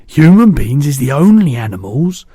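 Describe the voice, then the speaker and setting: A man reading aloud and voicing a big, kindly male giant who mangles his words, in a louder and higher voice than his own. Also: sing-song, normal speed, husky, no room sound. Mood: earnest. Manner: jumbled made-up words, booming and eager.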